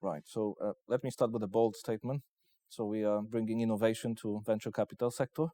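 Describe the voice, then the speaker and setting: Man, Polish accent, low pitch, investor in an interview, halting business pitch, dry close recording. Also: fairly even intonation, mellow, no echo. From Poland. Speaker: investment company representative, interviewee.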